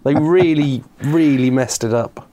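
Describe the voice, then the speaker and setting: A man's voice: mid-range voice, measured pace, dark tone. Playful man, slightly congested. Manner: jokey, rambling, playful asides. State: recovering from illness, a little congested. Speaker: podcast co-host. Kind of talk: casual chat. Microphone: remote call setup.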